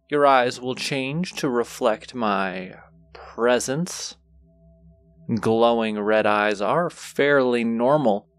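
Man playing a slow-drawling, flirty demon suitor. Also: mid-range voice. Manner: drawling, teasing, seductive. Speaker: voice actor. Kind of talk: intimate audio roleplay acting to the listener.

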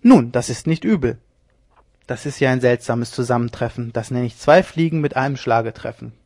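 Man doing a loud jeering swaggering lieutenant. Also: mid-range voice, moderate pace, no echo, studio quality, lightly acted. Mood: mocking. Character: swaggering mocking young male ship's lieutenant.

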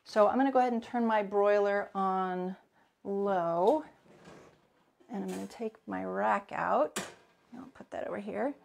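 Woman in her forties, gravelly voice, chatty live cooking teacher, home kitchen, camera across the counter. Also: medium pitch, unhurried, a little mellow, slight background noise. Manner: chatty, greets viewers by name, thinks aloud. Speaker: cooking instructor.

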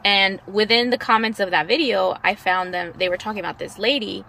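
A woman's voice: mid-range voice, steady tone, fairly quick, creaky, bright, forceful tone, poor audio. Chatty young woman vlogging from a car. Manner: chatty storyteller, lots of "like" and "basically". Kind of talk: casual chat while riding in a car. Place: inside a convertible car outdoors. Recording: faint car and road noise.

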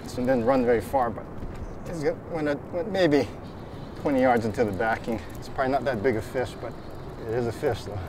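A middle-aged man talks about fishing, unhurried and reflective, with restarts. His voice sits at an ordinary pitch. A clear wash of outdoor noise runs behind him as he wades in the water. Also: expressive. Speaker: fly fisherman guest. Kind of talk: casual talk about fishing. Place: outdoors, wading in shallow water.

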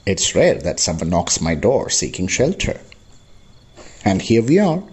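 A man's voice: deep voice, very animated, unhurried, a little mellow, slight background noise. A man playing a lonely, talkative old crofter in an amateur radio drama, effusive and hospitable, repeating himself. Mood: welcoming.

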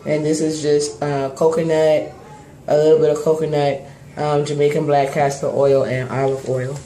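A young woman with a cold and a hoarse voice, casually talking through her hair routine with many pauses, into a close-up phone camera in a small bathroom. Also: low voice. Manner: relaxed, hesitant, many ums while working on her hair. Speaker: hair vlogger. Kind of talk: casual hair tutorial talk. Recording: echoey bathroom with background noise.

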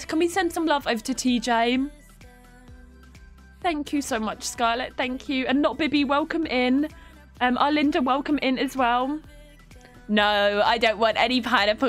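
A woman's voice: high voice, a bit bright. Stuffy-nosed woman streamer with a cold. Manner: chatty, affectionate toward chat, giggly. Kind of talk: live game stream chat. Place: home streaming room.

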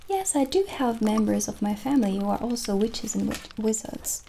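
A woman plays a witch shopkeeper in a gentle ASMR roleplay. Her voice is fairly high yet soft and mellow, with a creaky edge, often thinning almost to a whisper. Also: slight background noise. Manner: gentle, unhurried, often slipping into breathy near-whisper. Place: small dim indoor room set as a candlelit shop. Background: original music.

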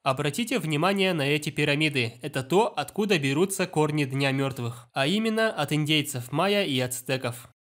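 A man giving scripted voice-over commentary on an animated film, explaining scenes with enthusiasm. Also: a little high, brisk, hardly any pauses, faint music behind. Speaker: film review blogger.

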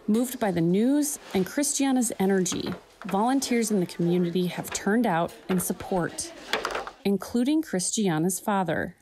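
A woman reporter reading a scripted news voice-over in a steady, clear manner. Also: medium pitch, moderate pace, background noise.

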